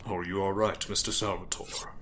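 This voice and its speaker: An actor playing a commanding mob boss, formal and measured with quiet threat, in a dramatic audio role-play. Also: male voice, low-pitched, flat delivery. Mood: formal concern.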